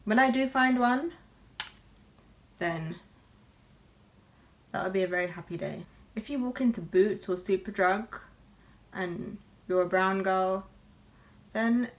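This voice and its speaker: Young woman, chatty, candid, mid-pitched voice, casual makeup vlog. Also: unhurried, pauses often. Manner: chatty and candid, lots of fillers. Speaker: beauty vlogger. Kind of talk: casual get-ready-with-me chat.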